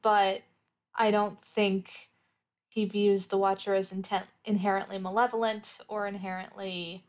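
Woman playing a tabletop rpg, mid pitch, casual and thoughtful, figuring it out as she talks. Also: level tone, measured pace. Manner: thoughtful, thinking aloud. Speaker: player in an actual play podcast. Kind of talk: casual improvised tabletop roleplay talk.

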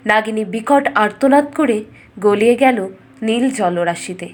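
A woman storyteller giving a scripted, dramatic fairytale narration in measured, expressive phrases. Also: a little high.

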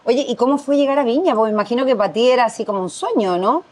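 A Chilean woman hosting a podcast, chatting and teasing her guest in a playful, animated way. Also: on the high side, very fast. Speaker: podcast host. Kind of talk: casual chat.

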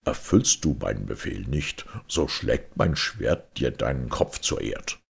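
A man playing a stern, overbearing fairy-tale king with a deep, gravelly voice and a commanding, menacing manner. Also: expressive, unhurried, heavily acted.

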